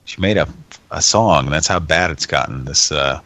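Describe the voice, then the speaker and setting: A man hosting a live show in rambling, halting chat, stuffed up from a cold. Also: extremely low pitch, sharp tone, dry recording. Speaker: host.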